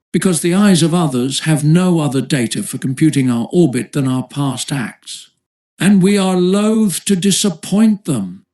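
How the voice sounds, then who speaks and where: A man narrating an audiobook of a nineteenth-century essay in a measured, formal literary manner. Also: medium pitch, a little mellow.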